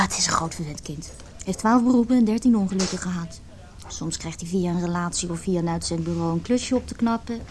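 A woman's voice: on the low side, lightly acted. An actress playing a brash, cynical woman in her early thirties in a Dutch radio play, exclaiming, teasing and scornful. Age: 30s. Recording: radio play with clear background noise.

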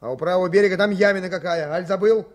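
A man's voice: high voice, quick pace, close to an everyday voice. An actor playing a resolute, plain-spoken foreman of a timber rafting crew in a Soviet radio drama. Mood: frank, consulting.

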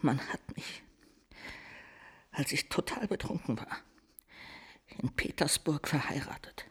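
A man playing a distraught, self-pitying drunken count in a radio drama, with a whining, halting delivery. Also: on the high side, expressive, a bit bright, slightly rough, full character voice. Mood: whining, hushed.

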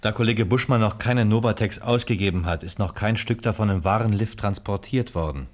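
An actor playing a calm, methodical police detective in a radio crime drama, speaking in a measured, logical way. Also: male voice, lowish voice, close to an everyday voice. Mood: calm, reasoning. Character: calm, methodical male police detective reporting to his captain.

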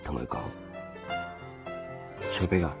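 An actor playing a gentle, teasing, devoted young man in a Cantonese radio drama, quiet and understated, with a Hong Kong accent. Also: a little slow, close to an everyday voice. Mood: tender. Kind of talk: Cantonese radio drama acting, low-key and natural. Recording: dull lo-fi 1990s radio recording. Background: faint music.

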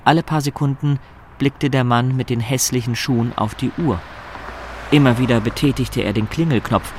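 A man's voice: mid-range voice, moderate pace, darkish tone, a little hiss or hum. A man reading scripted narration for a radio drama, telling the story in literary prose.

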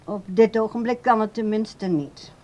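Actress playing a controlling adult daughter, medium pitch, brisk, formal, placating, radio play acting. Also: female voice, darkish tone, close to an everyday voice. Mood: polite, dismissive. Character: polite but evasive, controlling adult daughter.

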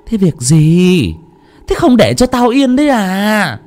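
A man voicing a gruff older village woman who practices folk exorcism, curt and urgent. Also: sits high, sing-song, slow, a little mellow, pitched above the performer's own voice, heavily acted. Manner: gruff, curt, whispering at times.

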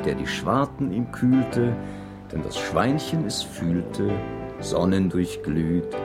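A man's voice: sits low, full character voice. A man playing a wry, leisurely storyteller who narrates a children's radio play in rhyme.

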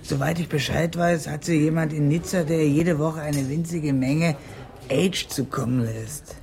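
A man's voice: fairly high pitch, fairly even intonation, a little slow, darkish tone. An actor playing an edgy, wary drug addict at the end of his tether in a radio crime drama, talking colloquially. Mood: confiding, matter-of-fact.

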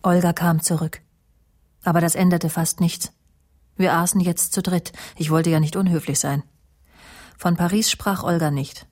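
Eine Schauspielerin mit rauchiger Stimme spielt in einem Krimi-Hörspiel eine stolze, scharfzüngige Fernsehmoderatorin, die trocken und sardonisch ihre Geschichte erzählt. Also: female voice, on the low side, steady tone, moderate pace, long pauses, a little mellow, lightly acted. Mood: troubled, reflective. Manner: dry, sardonic, self-assured. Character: proud, sharp-tongued woman TV presenter narrating her story. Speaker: actress, lead and narrator in radio play. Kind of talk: German radio crime drama, intimate first-person narration and dialogue.

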